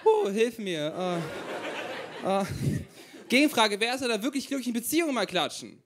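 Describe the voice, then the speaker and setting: A man's voice: very high voice, very animated, normal speed, crowd noise, background noise. A young man from Ukraine doing a stand-up set into a handheld mic, chatty and self-mocking as he tells stories. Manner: chatty, self-mocking, storytelling with acted-out quotes. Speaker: stand-up comedian. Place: small crowded room on a stage.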